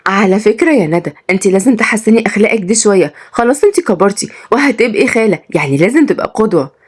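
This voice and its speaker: A woman voicing a gentle, loving young wife in an audio story, with an Egyptian accent. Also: on the low side, expressive, rapid-fire, husky. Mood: exasperated. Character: gentle, loving young wife, mildly scolding older sister.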